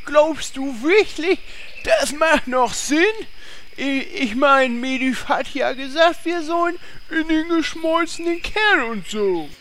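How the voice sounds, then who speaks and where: An actor playing a naive, dim but brave warrior in a parody audio drama. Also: male voice, extremely high pitch, animated, slow pace, fairly bright tone, slight background noise, heavily acted. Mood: hesitant, whiny.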